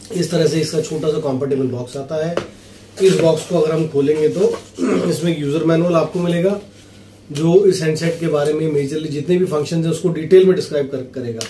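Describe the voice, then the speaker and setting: A man with a fairly high, mellow voice walks through a product in a casual, explanatory way. He mixes in English phrases. The small office sounds echoey. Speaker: product reviewer and seller. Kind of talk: casual unboxing and product demonstration. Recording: roomy echo, faint background noise.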